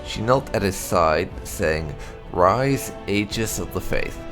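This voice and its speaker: A man narrating game lore as a gaming host, in a measured storyteller's manner with emphatic phrasing. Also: low voice, expressive, music in the background. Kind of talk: reading game lore aloud as scripted narration.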